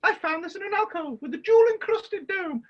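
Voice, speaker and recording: A woman playing an excitable, bustling pantomime dame and mother, exclaiming broadly and theatrically over a video call. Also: very high-pitched, a little fast. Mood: excited. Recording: dull lo-fi video call audio.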